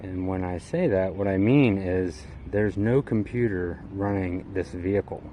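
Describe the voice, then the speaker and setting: Guy w a lowish voice doing a casual car walkaround, folksy slang, bit of noise around him. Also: unhurried, dark tone. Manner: folksy, colloquial, with hobbyist slang. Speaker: vlogger showing his vehicle build. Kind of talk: unscripted casual walkaround explanation.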